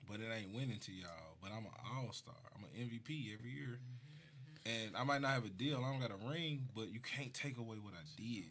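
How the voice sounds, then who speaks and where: A man's voice: fairly low pitch, fast, a bit bright. A man from Mississippi with a Southern US accent, a rapper telling stories casually as a guest on a talk show. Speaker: rapper being interviewed.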